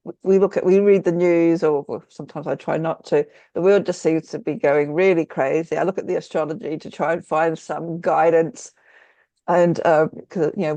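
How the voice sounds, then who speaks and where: A podcast host, chatting casually in an interview with halting, reflective, rambling phrasing. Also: mid-range voice, mellow, no room sound. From Australia or New Zealand.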